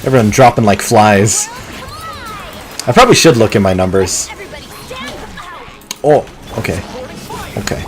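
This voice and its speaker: A man streaming a video game live, chatting casually and muttering to himself between bursts of excitement. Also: on the low side, a little slow, long pauses, over music. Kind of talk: live gameplay commentary.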